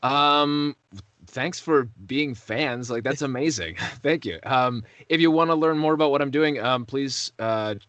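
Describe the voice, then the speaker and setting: A man in a casual interview as a voice actor, rambling and self-deprecating, pausing to search for words. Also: medium pitch, fairly bright tone, no echo, lo-fi sound.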